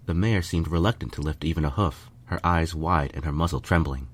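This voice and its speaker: A man's voice: very deep voice, a little fast, breathy edge. A man reading a first-person fan fiction story as scripted narration.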